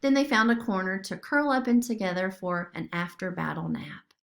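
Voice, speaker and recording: A woman's voice: medium pitch, normal speed, creaky, a bit bright. A woman narrating a children's story expressively, as a storybook reader.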